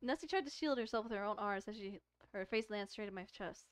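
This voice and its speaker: A woman's voice: mid-range voice, quick pace, dry recording, clean studio sound. A woman streamer reading a visual novel aloud expressively, mixing dramatic narration with casual commentary and stumbling over words.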